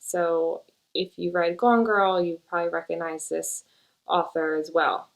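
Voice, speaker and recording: A woman's voice: lowish voice, level tone, unhurried, gravelly. A woman teacher hosting a casual book chat, who coaxes her young daughter with questions and then talks easily about books. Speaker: teacher and show host.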